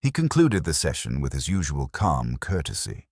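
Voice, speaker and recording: A man narrating a scripted mystery story in a measured, formal storytelling manner. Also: very deep voice, wildly swooping pitch, darkish tone, breathy edge, no room sound, clean studio sound. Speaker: audiobook narrator.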